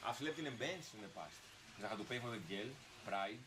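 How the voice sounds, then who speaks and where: A man's voice: mid-range voice, lively intonation. A man from Greece bantering and joking with friends over drinks in a small restaurant. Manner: quick banter, jokey boasts. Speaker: travel companion. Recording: loud restaurant noise.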